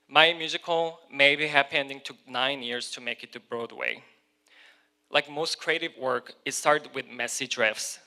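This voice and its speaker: Man, Korean accent, bright forward tone, prepared keynote, reads with small self-corrections. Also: medium pitch. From South Korea. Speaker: keynote speaker.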